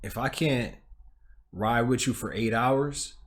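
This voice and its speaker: A man hosting a casual reaction video, talking over a clip and chatting to himself in quick asides. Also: medium pitch, slight background noise. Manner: excitable, talks over the clip, drops to muttering asides.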